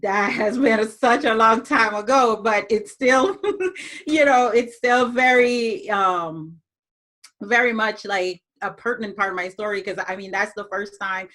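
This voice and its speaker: A woman's voice: mid-range voice, a little slow, a bit bright. A woman from Jamaica, a podcast guest, talking through her story in a reflective way with many fillers and restarts. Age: adult, moved abroad as young teen. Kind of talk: casual interview talk.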